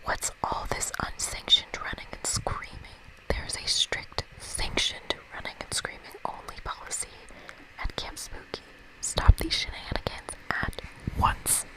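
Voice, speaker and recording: A woman's voice: measured pace, whispered, natural voice, low-quality recording. A woman voicing a strict, scolding older female camp director in a game, officious and stern.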